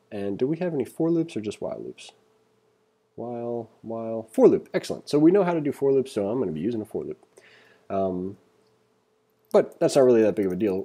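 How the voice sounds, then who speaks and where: A man with a low, very mellow voice leads an informal programming lesson. He thinks aloud as he goes, and his tone is husky and relaxed. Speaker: programming instructor. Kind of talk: casual coding tutorial, reading exercises aloud and thinking through code.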